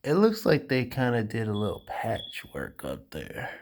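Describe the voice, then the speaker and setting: A man talking casually and informally with a colleague during an indoor walkthrough, thinking aloud and making quick decisions. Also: mid-range voice, huge pitch swings, gravelly, crowd noise. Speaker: property manager doing a walkthrough inspection. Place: indoors, rooms of an empty house under inspection.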